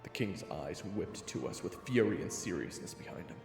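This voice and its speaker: A man's voice: low voice, expressive, brisk. A man narrating a scripted first-person fantasy story dramatically, with wry asides. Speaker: narrator of an audio roleplay. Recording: reverberant hall effect, loud music bed.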